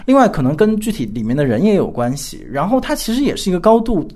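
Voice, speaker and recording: A man's voice: high voice, very animated, fast talker, warm tone. A man speaking as a guest commentator in an analytical discussion, reflective and rephrasing as he builds his argument step by step. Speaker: guest commentator, journalist and editor.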